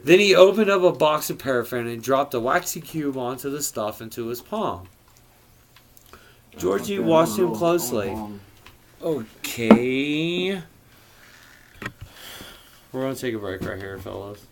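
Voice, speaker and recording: A man's voice: mid-range voice, lively intonation, unhurried, long pauses, a loud crowd. A man reading a story aloud informally, with a theatrical, irreverent manner and profane casual asides. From US Midwest. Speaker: amateur audiobook reader.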